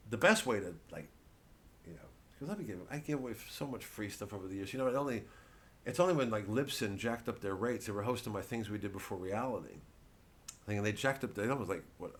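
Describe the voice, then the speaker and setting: A male comedian rambling through a blunt, indignant stand-up rant, swearing freely. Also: medium pitch.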